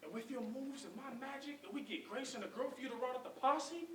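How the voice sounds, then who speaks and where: Man performing eager high-voiced hustler monologue. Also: quick pace, a little hiss or hum. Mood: scheming, dreamy. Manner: persuasive, excited street talk. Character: eager, scheming, smooth-talking hustler pitching his brother. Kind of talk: theatrical monologue. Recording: echoey room from distant mic.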